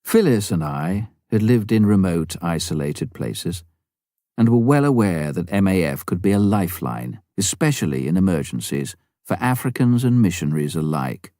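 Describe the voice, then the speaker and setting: A man narrating an audiobook memoir from a script, in a measured, expressive storytelling manner with deliberate phrasing. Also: sits low, no echo, clean studio sound.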